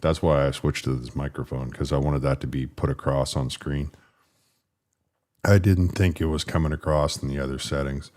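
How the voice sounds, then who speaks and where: Super deep rumbly guy livestreaming, kinda frustrated, rambly casual chat. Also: fairly even intonation, normal speed, a little mellow. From Canada. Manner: frustrated, rambling about stream settings. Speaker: political livestream host.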